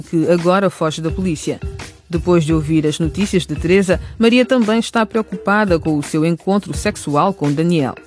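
A man's voice: high-pitched, darkish tone. A man delivering scripted narration as the narrator of a radio drama. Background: music.

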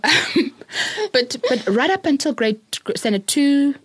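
A woman's voice: high-pitched, animated, slow pace, no echo. A South African woman telling her personal story as a podcast guest, thoughtful, often laughing and repeating words as she thinks.

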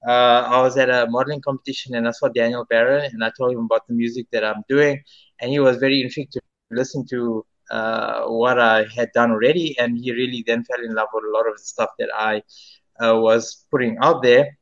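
A man's voice: medium pitch, flat delivery, brisk, a bit bright, dull audio. A South African singer in his thirties, answering interview questions in a rambling way with many restarts. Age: 30s. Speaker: singer-songwriter guest.

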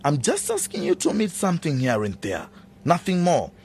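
An actor playing a menacing, scheming farm owner in a radio drama, domineering and theatrical, wheedling one moment and threatening the next. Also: male voice, fairly high pitch, wildly swooping pitch, normal speed, a little hiss or hum. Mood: conspiratorial, coaxing.

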